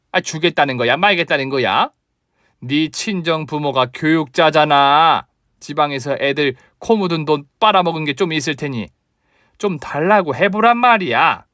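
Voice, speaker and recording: A man's voice: a little high, fairly bright tone, no echo, voice pushed up. A man voicing a harsh, greedy older mother-in-law who scolds and demands money in a contemptuous tone, recorded dry and close.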